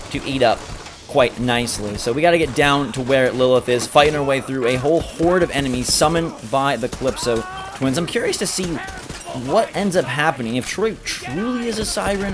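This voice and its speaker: A man hosting a gameplay video, giving enthusiastic running commentary. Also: medium pitch. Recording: game sound loud behind voice. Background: video game action noise.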